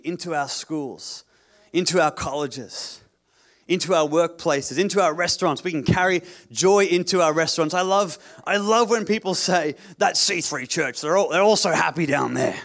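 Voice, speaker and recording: A man preaching a sermon as pastor, repeating phrases, cracking asides and asking the congregation for an amen. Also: on the high side. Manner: repetitive, rhetorical, calls for amen; jokey asides.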